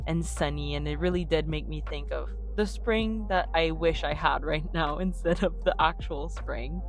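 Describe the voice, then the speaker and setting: A young woman whose first language is French, chatting in a casual, upbeat voice-over about her game build. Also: on the low side. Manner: chatty, upbeat, self-deprecating. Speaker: YouTuber narrating a game build.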